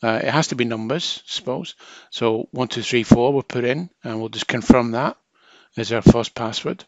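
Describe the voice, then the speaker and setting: A man's voice: mid-range voice, normal speed, highs cut off, slight background noise. A man narrating a hands-on technical tutorial as a trainer, explaining informally step by step.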